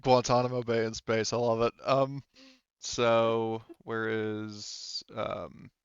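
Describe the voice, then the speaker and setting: A man's voice: mid-range voice, level tone, slow pace, vocal fry, clean tone, no room sound, muffled recording. A man running a tabletop game as game master, talking casually and informally.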